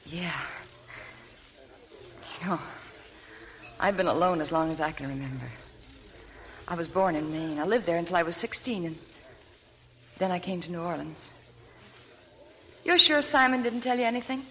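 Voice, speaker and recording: Actress as a young woman with a hidden past, soft and subdued, 1940s radio drama. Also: on the low side, moderate pace, long pauses, lightly acted. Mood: confiding. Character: young woman hiding a sad past, weary. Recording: old radio broadcast with faint music.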